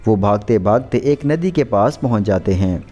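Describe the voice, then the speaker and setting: A man's voice: on the low side, lively intonation, normal speed, mellow, slightly rough. A man narrating a scripted children's story as a storyteller.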